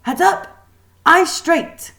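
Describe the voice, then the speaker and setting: Woman acting a shrill, bossy, shouting schoolmistress. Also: drawn-out words, heavily acted. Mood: barking orders. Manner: shouting, scolding, imperious. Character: strict, bellowing middle-aged female deportment teacher.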